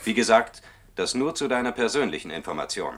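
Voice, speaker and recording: An actor playing an officious male records clerk who dictates memos in a formal, measured, bureaucratic way for a German radio play. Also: fairly low pitch, expressive, fairly bright tone. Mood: confidential, official.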